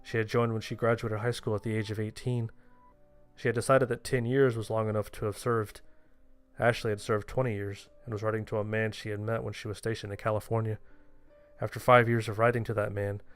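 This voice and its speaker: Low-voiced man narrating a story over music. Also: level tone, a little fast. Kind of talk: first-person horror fiction narration with dialogue. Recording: music under the voice.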